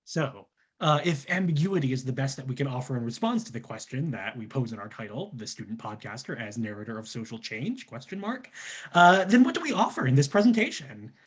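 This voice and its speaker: A man's voice: medium pitch, expressive, brisk, husky. A man giving a scripted academic presentation as a researcher, in an earnest, formal scholarly manner.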